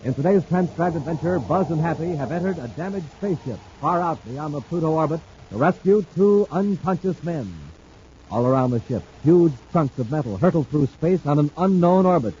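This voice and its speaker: Animated American man, vintage radio announcer. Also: on the high side, moderate pace. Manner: punchy, theatrical, emphatic. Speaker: radio announcer and sponsor pitchman. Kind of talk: 1950s radio announcing, dramatic scripted narration. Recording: dull old radio recording, faint noise.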